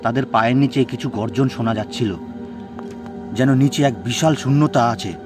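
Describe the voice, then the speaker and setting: A man's voice: medium pitch, normal speed. A man telling a horror story as a dramatic, scripted narration. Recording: music bed behind the voice.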